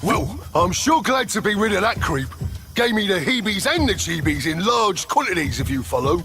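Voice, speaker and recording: High-pitched, quirky sidekick. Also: male voice, sing-song, normal speed, fairly bright tone, a little hiss or hum. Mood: relieved, chatty. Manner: colorful, quirky, wordy patter. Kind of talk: animated video game cutscene acting. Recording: music behind the voice.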